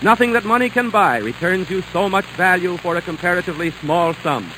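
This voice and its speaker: A male announcer delivering a smooth 1930s radio sales pitch. His voice is high for a man, and clear hiss and noise run under the old recording. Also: a little fast. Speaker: commercial announcer.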